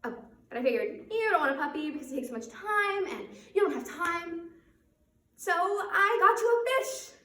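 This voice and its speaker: A woman performing a theatrical monologue as a flustered, guilt-ridden young woman who apologizes in a halting, nervously rambling way. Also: very high voice, animated, pushed and bright, exaggerated voice. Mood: flustered, apologetic. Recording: roomy echo, distant microphone.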